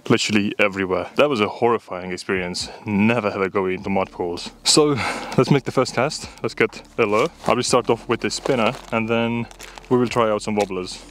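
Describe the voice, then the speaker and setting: A man's voice: fairly low pitch, slight background noise. A young man, chatting candidly to viewers as he fishes along a riverbank, recorded on a body-worn action camera outdoors. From Estonia. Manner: chatty and candid, talks to viewers while fishing. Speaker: fishing vlogger. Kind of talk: casual outdoor vlog chat.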